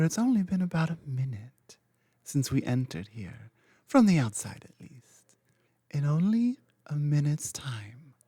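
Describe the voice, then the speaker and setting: A woman's voice: extremely low pitch, no echo, full character voice. Woman playing a cryptic ancient dragon queen. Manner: slow, cryptic, commanding, drifting into soft whispers. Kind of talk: ASMR roleplay audio.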